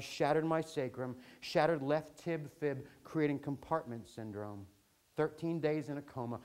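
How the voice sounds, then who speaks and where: A man performing an autobiographical spoken-word story with theatrical, deliberate phrasing and wry asides. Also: medium pitch. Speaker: storyteller performing his own account.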